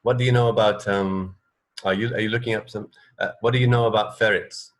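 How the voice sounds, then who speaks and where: A man from England co-hosting a radio show in casual chat, thinking aloud in a halting way. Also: fairly low pitch, steady tone. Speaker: radio co-host. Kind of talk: casual chat about fun facts.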